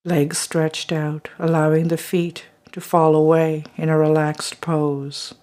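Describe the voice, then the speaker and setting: A meditation guide leading a body scan, speaking slowly and softly and trailing into whispers at phrase ends. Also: medium pitch, little pitch movement.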